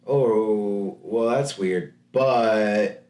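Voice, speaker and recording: Laid-back low-voiced man, echoey room. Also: very slow, darkish tone. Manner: laid-back, frequent agreeing interjections. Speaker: podcast co-host. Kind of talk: casual chat about video games.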